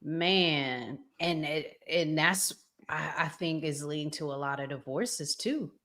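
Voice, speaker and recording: A woman hosts a casual podcast in a slightly low voice. She speaks slowly and reflectively. She often repeats a phrase deliberately as she shapes her thought. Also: fairly bright tone. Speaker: podcast host.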